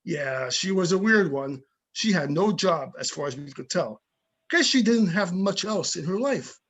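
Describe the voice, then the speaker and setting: Man to play small-town older police chief for a play reading: tough but friendly, opinionated, husky and high for a man. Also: expressive, unhurried, fairly bright tone, exaggerated voice. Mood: disdainful. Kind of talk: amateur readers theater reading over videoconference.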